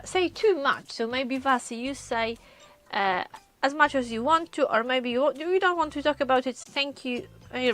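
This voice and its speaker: A woman with a Polish accent chatting casually on a live stream, often pausing with hesitations as she answers viewers. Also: on the high side, a bit bright. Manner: chatty, many hesitations, reads viewer comments aloud. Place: lives in a caravan on a UK campsite.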